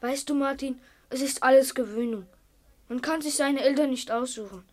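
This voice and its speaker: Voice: sits high, normal speed, darkish tone, natural voice. A performer in a radio play playing a stoic, lonely schoolboy, thoughtful and matter-of-fact. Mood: calm, resigned.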